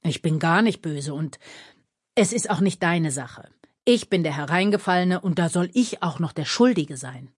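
Storybook role: bitter, sneering grown man, performed by a female narrator, low-pitched, very dry sound, sarcastic and indignant. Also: expressive, moderate pace, slightly rough, no echo. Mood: sneering, resentful. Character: aggrieved, sneering grown man, mother's lover.

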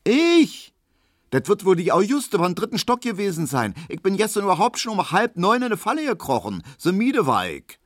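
A man voicing a plump, cheeky young maid, talking in a shrill, indignant way. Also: huge pitch swings, measured pace, no room sound, studio quality, exaggerated voice. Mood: sassy indignant.

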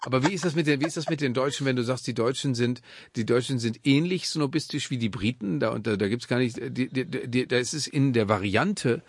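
A man in the role of interviewer, speaking at a middling pitch with a dry, close sound. He circles into his questions, often repeating and restarting words in a conversational way. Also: brisk, hardly any pauses, slightly rough, dry recording.

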